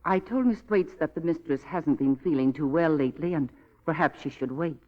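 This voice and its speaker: A woman's voice: sits low, fairly quick, natural voice. Deferential female housekeeper played by an actress, uneasy and formal, vintage radio drama, dull lo-fi recording. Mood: meek, nervous. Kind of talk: 1940s radio drama acting.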